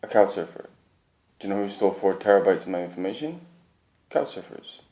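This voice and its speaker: A man's voice: deep voice, lively intonation, a little fast, warm tone. A man in casual conversation, insistent and argumentative. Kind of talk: casual chat. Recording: echoey room.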